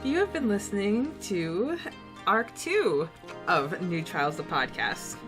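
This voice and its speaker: A woman's voice: mid-range voice, big pitch swings, slow pace, music in the background. A woman co-hosting a podcast in casual chat, chatty and laughing often, repeating words as she thinks. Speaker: podcast co-host.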